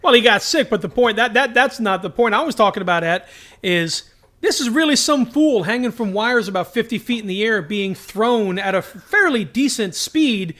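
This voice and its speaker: A man's voice: high-pitched, lively intonation, normal speed, sharp tone. A man co-hosting a podcast in casual fan discussion, speaking emphatically and with strong opinions, often in anecdotes. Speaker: podcast co-host. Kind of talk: casual enthusiast discussion about TV shows.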